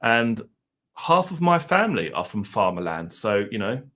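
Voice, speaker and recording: A man's voice: fairly low pitch, very animated, normal speed, a bit bright. A man with an Essex accent hosting a live stream, rambling casually and chatting with his viewers. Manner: mild swearing, laid-back banter.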